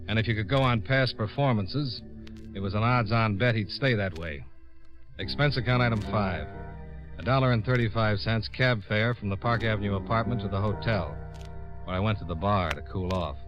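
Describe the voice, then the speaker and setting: An American actor playing a sardonic, hard-boiled insurance investigator in 1950s radio noir style, clipped, dry and cynical. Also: male voice, lowish voice, moderate pace, music in the background, natural voice. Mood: wry, world-weary. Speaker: lead actor and narrator in a radio detective drama. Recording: muffled vintage radio recording.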